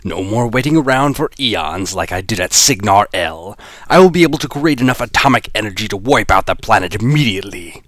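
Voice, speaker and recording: A man's voice: fairly low pitch, lively intonation, brisk, fairly bright tone, no room sound. A man voicing a boastful, menacing alien villain with a grand manner. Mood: gloating.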